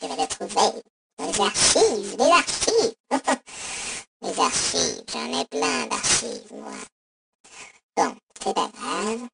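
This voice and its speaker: A cheeky robotic voicemail operator, improvised by a woman for a comic skit, mock-official and crude. The voice is heavily fried and runs through a robot effect. It sits far from the mic in clear noise. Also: medium pitch, expressive, slow pace, fairly bright tone, heavily acted. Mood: taunting. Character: cheeky, insulting female robot voicemail service. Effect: robot voice modulator. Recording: distant, noisy phone app recording.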